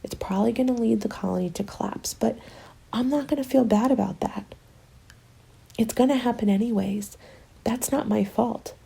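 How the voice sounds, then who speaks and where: A woman playing a cynical, scheming apocalypse survivor in an ASMR roleplay, breathy and often whispering, taunting and self-justifying. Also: on the high side, normal speed, dark tone, full character voice. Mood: defensive, accusing. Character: cynical, defiant woman survivor hoarding food, selfishly scheming.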